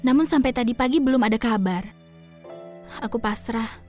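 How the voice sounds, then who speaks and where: A woman playing a soft-spoken grateful young woman. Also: fairly high pitch, normal speed, soft background music, close to an everyday voice.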